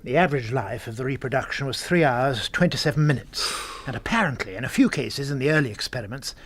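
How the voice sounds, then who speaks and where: An actor playing a wry, matter-of-fact English gentleman in a radio drama, with a British accent and an understated, reasoning manner. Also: mid-range voice, lively intonation, fast. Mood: resigned.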